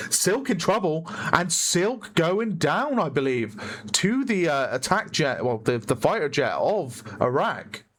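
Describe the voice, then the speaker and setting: A man with a hoarse voice giving chatty play-by-play commentary on a competitive online shooter match, often correcting himself. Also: fairly high pitch, a bit bright. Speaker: esports caster. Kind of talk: live game commentary.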